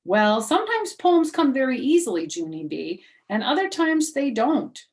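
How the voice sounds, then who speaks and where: A woman's voice: high voice, very animated, moderate pace, pitched above the performer's own voice. A woman reads the part of a patient male schoolteacher in a children's storybook. He explains things to his class politely but firmly. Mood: encouraging. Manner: clear instructive classroom talk, polite but firm.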